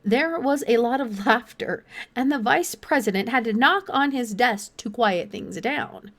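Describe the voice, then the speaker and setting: A woman's voice: a little high, expressive, unhurried, a bit bright. A woman reading a children's novel aloud as an audiobook narrator.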